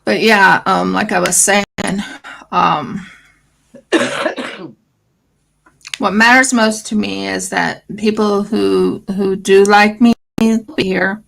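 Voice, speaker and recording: A woman's voice: mid-range voice, slow pace, vocal fry, pauses often, a bit bright. A woman with an Australian accent and a scratchy voice, chatting casually and rambling as host of a craft livestream. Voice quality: scratchy morning voice. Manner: rambling, fillers, restarts.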